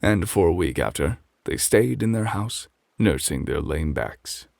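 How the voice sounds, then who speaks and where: A man playing a calm, refined gentleman who reads a bedtime story as gentle, measured ASMR close to the microphone. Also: low voice, gravelly, dry recording, heavily acted. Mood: soothing.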